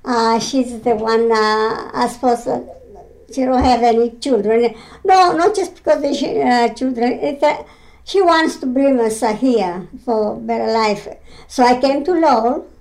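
A woman in her nineties from Greece with a Greek accent, recounting her mill work in an oral history interview at home, halting with many fillers and simple non-native grammar. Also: a little high, a little mellow, poor audio. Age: 90s. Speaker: interviewee, retired mill weaver.

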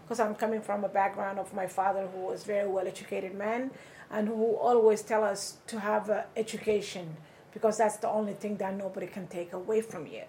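A woman's voice: mid-range voice, a little fast, slight background noise, low-quality recording. Woman from Somalia telling her story. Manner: conversational storytelling, animated when quoting people. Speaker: interviewee. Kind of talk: oral history interview.

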